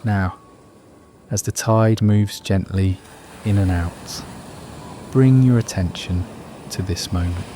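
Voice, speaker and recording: A man narrating a guided meditation in a soothing, unhurried, measured manner. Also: deep voice, background noise.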